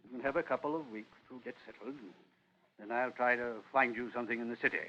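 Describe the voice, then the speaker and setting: A man's voice: medium pitch, steady tone, quick pace, pushed and bright. An actor playing a stern, disappointed middle-aged British father in a vintage radio drama, curt and formal as he scolds. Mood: exasperated. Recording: dull vintage radio recording.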